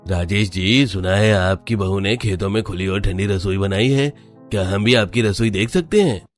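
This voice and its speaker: A man's voice: on the low side, moderate pace, soft background music, natural voice. A man playing a polite, admiring villager in a cartoon. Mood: curious, admiring. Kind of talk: Hindi cartoon character dialogue.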